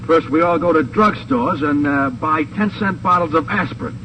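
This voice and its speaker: A man's voice: on the high side, moderate pace, pushed and bright. An actor playing a slangy, questioning American hoodlum henchman in a 1950s radio crime drama, grumbling and hesitant. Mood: puzzled grumbling. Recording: distant, faintly noisy old radio.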